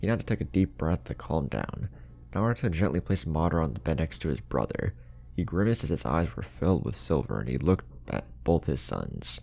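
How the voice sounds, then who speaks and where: A man narrates a story in an exceptionally deep voice. His delivery is dramatic and lively, swinging in pitch, with a gravelly creak. Music plays underneath. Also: a little fast. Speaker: fan fiction narrator. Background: background music.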